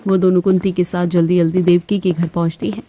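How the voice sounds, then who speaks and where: A woman's voice: fairly low pitch, flat delivery, quick pace, dark tone, a little hiss or hum. A woman reading scripted narration for a cartoon story.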